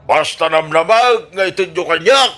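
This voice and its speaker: An actor playing a scheming, blustering village candidate in an Ilocano radio comedy drama, with an Ilocano Filipino accent and a theatrical, drawn-out delivery. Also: male voice, sits high, lively intonation, a bit bright. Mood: grandly persuasive. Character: scheming, blustering male village election candidate, bitter after losing.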